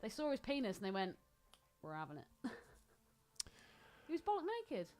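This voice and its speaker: A woman's voice: mid-range voice, sing-song, normal speed, darkish tone, faint music behind. A woman with a southern English accent co-hosting a podcast, telling gossipy stories in blunt, slangy, sweary language. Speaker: podcast co-host. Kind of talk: casual chat, gossipy storytelling.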